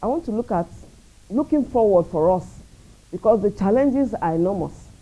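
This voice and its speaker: A Nigerian woman answers interview questions as a radio station executive. Her voice is very dark and mellow, with an average pitch for a woman. She speaks in a measured, earnest and proud way. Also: expressive, rough recording.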